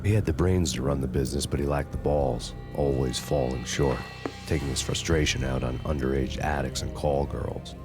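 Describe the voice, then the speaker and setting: An actor playing a weary, hardboiled cop who narrates a noir game in a flat, cynical deadpan. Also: male voice, extremely low pitch, moderate pace. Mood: grim. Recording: game soundtrack music behind.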